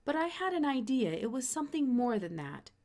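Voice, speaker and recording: A woman reading a children's storybook aloud in an expressive narrating style. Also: high-pitched, brisk. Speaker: storybook reader.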